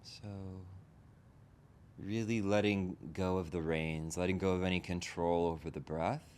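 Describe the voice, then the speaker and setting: Low-voiced man guiding a meditation. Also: steady tone, unhurried. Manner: gently instructing in short, spaced phrases. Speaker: meditation teacher. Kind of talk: guided meditation. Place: group meditation session in a room. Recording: background rumble and noise.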